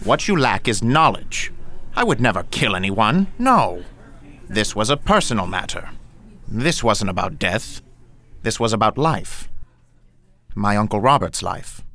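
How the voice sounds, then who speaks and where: A pompous academic man who lectures and rambles grandly, with a fairly low voice, as an actor plays him. He fills every thought with long-winded theory, speaking with the self-importance of a scholar in a radio-style drama. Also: very animated, measured pace, long pauses, sharp tone, full character voice. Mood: lecturing. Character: pompous male academic book thief, grandiose. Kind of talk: audio drama acting.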